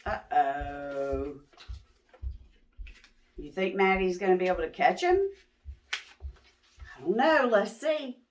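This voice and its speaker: A woman reading a picture book aloud to young children as host, expressive and pausing to ask questions. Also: lowish voice, a little hiss or hum.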